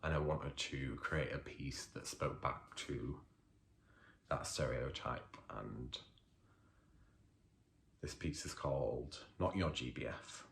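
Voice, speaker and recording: A man's voice: extremely low pitch, vocal fry, fairly bright tone, slight background noise. A man, working as a poet, gives a hesitant introduction full of fillers and then reads his poems aloud. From Leeds, England. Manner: hesitant, unhurried talk with many fillers. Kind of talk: introduction and poetry reading.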